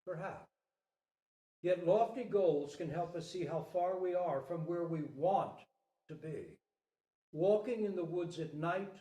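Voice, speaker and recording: A man giving a literary, scripted Zen dharma talk as a teacher and storyteller, with emphatic phrasing and dramatic pauses. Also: on the high side, dark tone. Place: indoor hall at a Zen center. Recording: roomy, echoing space.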